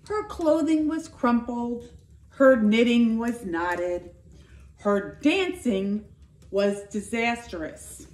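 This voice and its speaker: A middle-aged woman reads a picture book to children in a high voice that swings up and down. She takes her time and leans on the important words. The sound is echoey, as in a living room. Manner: expressive, deliberate storytelling with emphasized words. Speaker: school nurse reading to children. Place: indoor living room by a fireplace.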